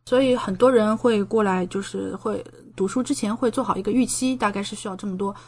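A woman's voice: mid-range voice, fairly quick, darkish tone. A woman from China talking casually as an interview guest, explaining things at length with plenty of examples. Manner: explanatory, talkative, gives examples. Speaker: podcast guest, doctoral student in medicine living in Japan.

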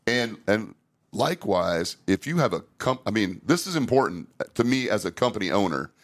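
A man's voice: lowish voice, big pitch swings, normal speed. A middle-aged man hosting a casual podcast conversation about safety training, speaking candidly with frequent restarts.

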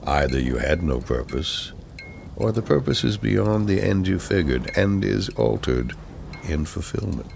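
A man reciting poetry solemnly and deliberately, with weighty pauses and a gravelly voice. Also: low-pitched, big pitch swings, a little mellow, slight background noise. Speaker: poetry reader.

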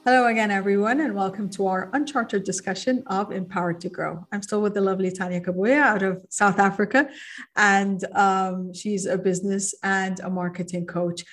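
A woman podcast host, from Egypt and raised in Kuwait, talks in a reflective and personal way with the odd restart. Her pitch sits in the middle range. Faint music plays behind her. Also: fairly even intonation, normal speed. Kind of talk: conversational interview.

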